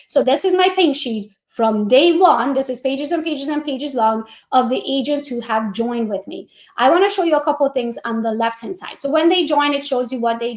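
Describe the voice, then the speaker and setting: A woman with a Hungarian accent, a real estate coach giving an energetic, chatty walkthrough on a live stream and talking straight to her viewers. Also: fairly high pitch, echoey room.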